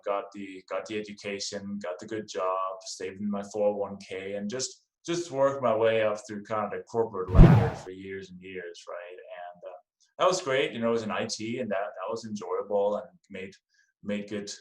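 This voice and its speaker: A middle-aged man with a Danish accent talks in a relaxed, conversational way, often circling back on his words. His voice sits fairly low. The sound is roomy and echoey, like a podcast recorded in a bare room. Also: normal speed. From Denmark. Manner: easygoing, repeats words as he gathers thoughts. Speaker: podcast guest. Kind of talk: casual interview chat.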